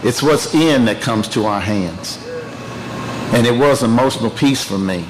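An older man from Tennessee, giving an emotional, halting speech at the unveiling of his artwork. He often repeats phrases and pauses to collect himself. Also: medium pitch, noisy background. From Tennessee, United States. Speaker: artist unveiling his work.

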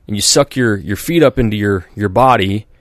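A man with a fairly low voice talks through a casual podcast conversation, rambling and restarting, filling with "like" and "you know", slipping into quoted voices. The sound is dry and close, with no room around it. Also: normal speed, dry recording. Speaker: podcast host. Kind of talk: casual chat.

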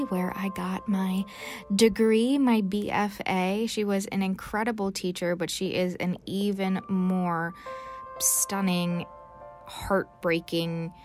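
Female podcast host, mid-pitched voice, enthusiastic and admiring introductions, light music underneath.

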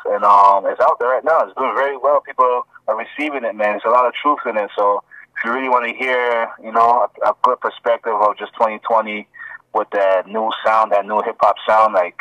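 A man's voice: fairly low pitch, pushed and bright, dry recording. Laid-back man on a distant phone line. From Toronto. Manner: laid-back, friendly, lots of fillers. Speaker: rapper and guest co-host. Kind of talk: casual podcast chat. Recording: distant-sounding phone call.

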